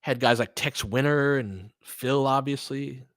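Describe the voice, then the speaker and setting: A man's voice: mid-range voice, a little slow, a bit bright, no echo. A man with a mid-range voice hosts a casual sports stream. He talks conversationally, working out his thoughts aloud and sometimes leaving them unfinished. The sound is close and dry, with very little room in it. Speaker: host of an audio play-by-play fan stream. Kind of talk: casual sports chat, answering listeners during play.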